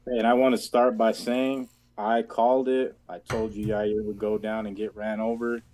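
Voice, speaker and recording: A man's voice: on the low side, little pitch movement, moderate pace, darkish tone. A man co-hosting a fight podcast, talking in loose, rambling sentences full of fillers. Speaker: podcast co-host. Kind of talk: casual podcast chat.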